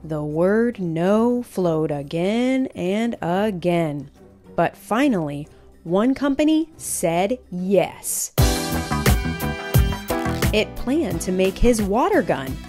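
Female storyteller, expressive children's read-aloud, slow and emphatic, medium pitch, faint music behind.

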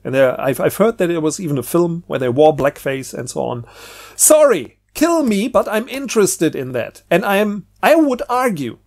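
A man's voice: high voice, big pitch swings, moderate pace, vocal fry, a little mellow. A man hosting a casual, unscripted book review, talking in a hesitant, rambling way with repeated words. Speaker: book review video host.

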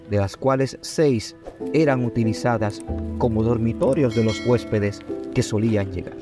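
A man reading a scripted biographical narration in a formal, measured way, in short phrases. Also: mid-range voice. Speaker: documentary narrator. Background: background music.

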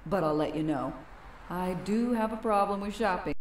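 A woman's voice: medium pitch, normal speed, a little hiss or hum, lightly acted, rough recording. A woman acting in a radio drama as a cool, scheming wife with a dry, businesslike manner. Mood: cool, wry.

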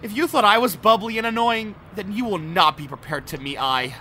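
A man's voice: sits high, a little fast, bright, forceful tone, slight background noise. A man playing a playful, teasing, affectionate young inspector in an anime-style fan audio drama, with theatrical delivery. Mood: bubbly, teasing.

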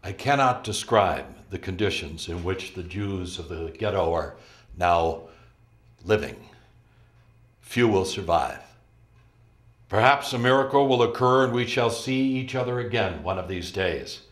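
A man's voice: sits low, expressive, measured pace. An older man in a dramatic reading, playing a grave, resolute resistance commander. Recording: roomy echo, faint music behind.

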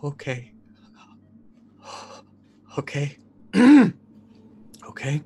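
A man's voice: a little high, big pitch swings, extremely slow, mellow, husky. A middle-aged man playing a tabletop roleplaying game, describing his character's actions casually, in a hesitant, thoughtful manner. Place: indoor room, video call. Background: music.

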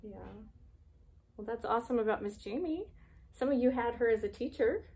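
A woman's voice: on the high side, animated, normal speed, a little mellow. A woman, chatting casually and reading aloud for preschoolers, asking simple, encouraging questions. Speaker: preschool devotion host. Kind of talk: casual chat and reading aloud for young children. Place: small classroom, indoors.

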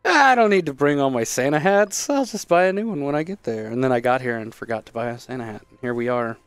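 Man, thirties, hammy gameplay chat, dry close sound, mid pitch. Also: lively intonation, fast, clean tone, dry recording, soft background music. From Texas, USA. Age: 30s. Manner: playful, hammy, breaks into loud exaggerated bits. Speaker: streamer co-host. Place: small home room.

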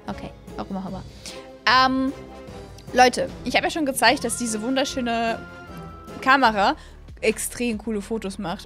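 A young adult woman giving casual, excitable gameplay commentary as a host, repeating phrases and stumbling over words, close on a studio mic. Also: high voice. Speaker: gaming video host. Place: small room at a gaming setup, indoors. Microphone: close studio mic on boom arm.

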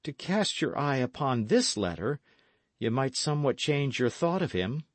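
A man voicing a bold, honest and courteous young gentleman of old England in an audiobook, speaking earnestly and formally. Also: mid-range voice, sing-song, moderate pace, no echo, pitched above the performer's own voice. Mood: respectful, bold. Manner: earnest, formal archaic speech.

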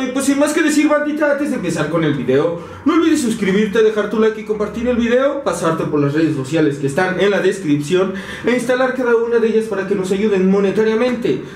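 A young man with a Mexican Spanish accent, chatty and full of slang as he addresses his viewers. His voice sits a little high, picked up by a camera held some distance away. The small rooms add a noticeable echo. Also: normal speed, slight background noise. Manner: slangy, energetic, talks to viewers as "banda". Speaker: vlogger. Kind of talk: casual house tour vlog. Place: small apartment, indoors. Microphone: handheld camera mic. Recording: echoey room, a bit off-mic.

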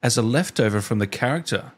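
A man's voice: mid-range voice, expressive, fast. A man in his thirties hosting a casual interview, teasing and playful, speaking close to a desk microphone. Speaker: interview host. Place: small indoor streaming room.

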